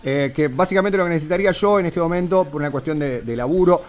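A man's voice: a little high, quick pace. An Argentine man with a Rioplatense accent, a live streamer rambling straight-faced through an improvised prank phone call. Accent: Argentine Rioplatense Spanish. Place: indoor home streaming room.

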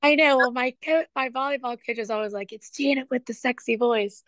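Raspy-voiced woman caller. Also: high-pitched, a little fast, a bit bright, dry recording. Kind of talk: conversation with a show host.

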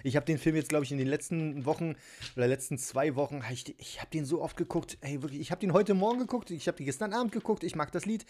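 A man's voice: a little high, fast talker, darkish tone. Giggly, chatty man building toys. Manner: chatty, giggly, self-mocking. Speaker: toy unboxing vlogger. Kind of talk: casual chat while building a toy set. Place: home tabletop filmed from above.

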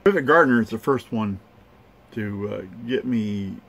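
A man's voice: lowish voice, animated, creaky, a bit bright, slight background noise. A man in his sixties talking casually in his camping vlog, folksy and chatty, chuckling as he goes. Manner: chatty, folksy, chuckles often, slow drawn-out phrasing. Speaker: outdoor cooking vlogger. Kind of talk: casual camp vlog narration. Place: inside a camper. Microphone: camera held close to the face.

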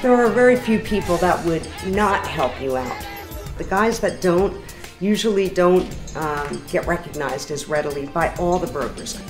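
A middle-aged woman, a floor trader, speaking loudly about a disputed trade. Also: on the low side, animated, normal speed. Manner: loud, shouting in a heated argument. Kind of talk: reality TV interview and trading floor shouting. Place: noisy trading floor. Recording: loud crowd and music behind.

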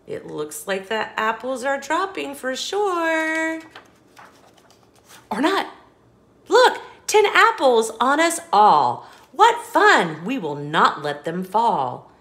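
A woman reading a picture book aloud to young children, with expressive emphasis and small asides to the listener. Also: high voice, slow. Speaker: children's storybook reader.

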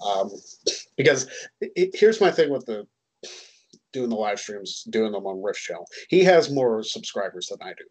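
A man's voice: fairly low pitch, very animated, normal speed, a little mellow, rough recording. A man in his thirties co-hosting a casual chat on a headset, rambling and self-deprecating with many ums. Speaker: co-host. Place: home room, indoors.

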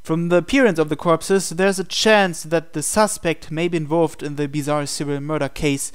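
Man reading a story aloud, higher-pitched voice. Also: fairly even intonation, moderate pace, smooth. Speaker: player reading a visual novel aloud. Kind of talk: dramatic read-aloud narration of a visual novel.

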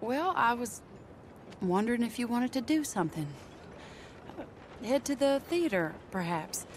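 An actress playing a genteel, sharp-tongued Western lady in a video game, fond and playfully scolding in natural dialogue. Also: fairly high pitch, animated, unhurried, slight background noise. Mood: inviting.

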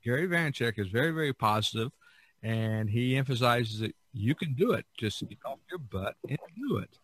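A man hosting a casual podcast chat, talking haltingly and often restarting his phrases. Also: medium pitch, lively intonation, a bit bright, no room sound. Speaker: podcast host.

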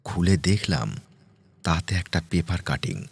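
A man narrating a first-person ghost story in a dramatic, suspenseful way, with deliberate pauses and repeated words. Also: low-pitched, very animated, creaky, darkish tone, breathy edge. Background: music.

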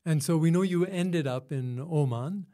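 A man hosting an interview podcast, reading a scripted intro and then asking measured questions. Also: fairly high pitch, warm tone. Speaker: podcast host.

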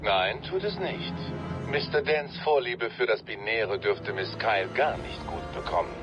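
A man's voice: lowish voice, a little slow, music in the background, lightly acted. An actor voicing a polite older male butler, formal and courteous, heard over a radio link. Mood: concerned.

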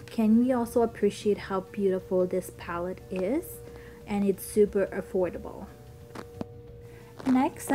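A woman's voice: medium pitch, warm tone, low-quality recording. A young woman with a childlike voice narrating a makeup tutorial in a chatty, upbeat way. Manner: chatty, upbeat product talk with ums. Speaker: beauty vlogger.

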